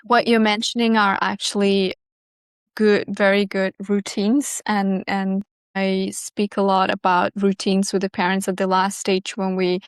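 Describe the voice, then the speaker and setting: Woman expert, smooth and level delivery, measured explainer. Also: mid-range voice, level tone, no echo, studio quality. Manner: measured, explanatory, with small restarts. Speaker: bilingual education specialist. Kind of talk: expert interview answers.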